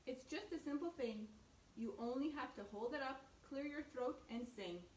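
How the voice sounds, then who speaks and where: A woman voicing a gentle female sheep from a storybook, warmly coaxing. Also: high voice, moderate pace, slight background noise, close to an everyday voice. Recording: echoey room, far from mic.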